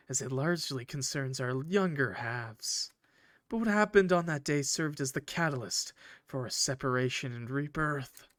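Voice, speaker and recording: A man voicing a possessive, coldly polite villain from a visual novel, speaking formally and theatrically in measured, condescending phrases. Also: fairly high pitch, no room sound, studio quality. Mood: brooding, solemn. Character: possessive, coldly polite male villain, eerie train conductor. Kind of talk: visual novel character reading.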